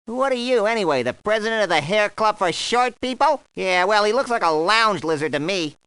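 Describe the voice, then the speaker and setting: A man voicing a small, hyperkinetic rabbity creature in a cartoon comedy game, a gleefully violent wisecracker with a sardonic, excitable delivery. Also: sits high, a little fast, clean tone, no room sound. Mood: snide. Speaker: video game character.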